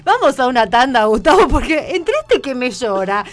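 A woman's voice: high voice, big pitch swings, moderate pace. A woman with an Argentine accent hosting a radio show, mocking song lyrics in sarcastic comic banter. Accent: Argentine Spanish. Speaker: radio host.

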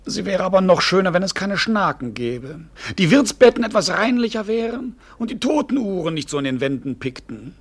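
An actor playing a cynical, earthy court jester in a classic radio play, husky and drolly theatrical. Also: male voice, high voice, very animated, moderate pace, few pauses, heavily acted. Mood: wry, droll. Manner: droll, wry theatrical musing.

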